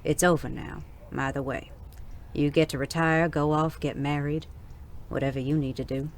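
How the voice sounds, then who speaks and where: A woman's voice: sits low, steady tone, moderate pace. A woman playing a tough, teasing Wild West saloonkeeper in an audio drama, with folksy slang and a dry manner. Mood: gruff concern. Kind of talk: audio drama western dialogue.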